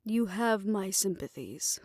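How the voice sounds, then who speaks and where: A woman playing a tender, world-weary lover in a fantasy audio roleplay, speaking in a measured, reflective and intimate way. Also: medium pitch, lively intonation, no room sound, studio quality. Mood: apologetic. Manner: measured, reflective, formal phrasing, soft hushed moments. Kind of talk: romantic audio roleplay, intimate scripted monologue.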